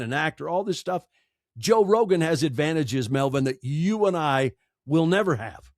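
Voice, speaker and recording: A man's voice: a little high, a little mellow, no echo, clean studio sound. A man speaking as a podcast guest, giving conversational advice emphatically and repeating phrases for effect.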